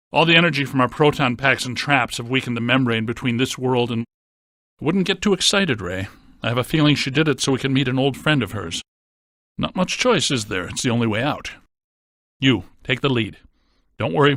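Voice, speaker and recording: An actor playing a wry, unflappable ghost-hunting scientist in a video game, with a dry, matter-of-fact delivery. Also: male voice, medium pitch, a bit bright, no room sound. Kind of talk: scripted video game character lines.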